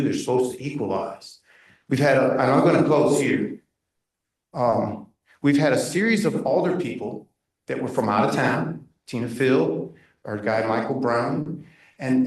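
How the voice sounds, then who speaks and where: A man speaks up at a neighborhood meeting, loud and insistent, restarting his sentences as he pushes his complaint. He is not on the microphone, so his voice comes from well off across a very echoey room. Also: medium pitch. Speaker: resident giving public comment. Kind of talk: impassioned public comment at a neighborhood meeting. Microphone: room mic at a distance, not speaking into a handheld. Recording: very echoey room, voice far from the mic.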